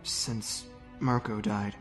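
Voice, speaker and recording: A man voicing an earnest young soldier leader who speaks calmly and conciliatorily. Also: lowish voice, slow pace, soft background music. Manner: calm, conciliatory.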